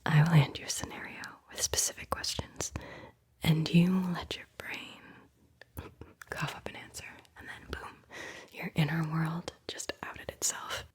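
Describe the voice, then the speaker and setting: A woman's voice: low-pitched, little pitch movement, measured pace, slightly rough. A woman whispering a playful guided visualization as an ASMR host, close to the mic.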